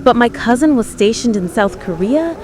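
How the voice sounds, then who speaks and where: A woman playing an earnest, fearful conspiracy-believing radio caller with an American accent, halting and insistent. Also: on the high side, animated, a little mellow, slight background noise, lightly acted. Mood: uneasy, urgent. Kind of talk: dramatised radio call-in testimony.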